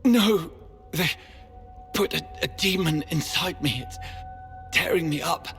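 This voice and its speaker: An actor playing a dying, betrayed knight in a video game, weakened and strained with pain. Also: male voice, high-pitched, expressive, slow pace, fairly bright tone, exaggerated voice. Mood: anguished. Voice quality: gravelly, strained. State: in agony, weakened. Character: dying male knight, tormented, bitter, betrayed. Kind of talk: dramatic video game acting. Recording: music behind the voice.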